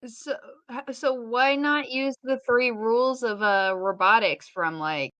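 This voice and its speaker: A woman's voice: on the high side, measured pace, fairly bright tone, no room sound, highs cut off. A woman co-hosting a podcast, speaking in casual conversation with a questioning, slightly tentative manner.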